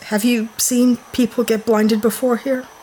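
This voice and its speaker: An actress playing a brash, trigger-happy young heroine who talks bluntly and snappily. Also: female voice, a little high, flat delivery, a little slow, warm tone, a little hiss or hum, close to an everyday voice, poor audio.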